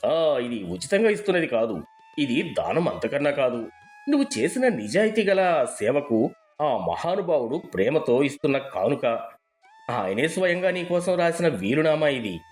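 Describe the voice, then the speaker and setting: A man's voice: fairly high pitch, very animated, normal speed, a little mellow, soft background music. A man playing an earnest, persuasive lawyer in a moral story, formal, firm and reassuring. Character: earnest, persuasive male lawyer delivering a bequest. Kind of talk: dramatised moral storybook dialogue.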